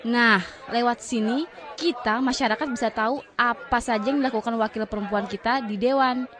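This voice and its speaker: An actress as outspoken, fairly high-voiced woman citizen. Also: moderate pace, a bit bright, close to an everyday voice. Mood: proud. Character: outspoken, eager female citizen airing views at a forum. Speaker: audience member. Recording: crowd noise behind.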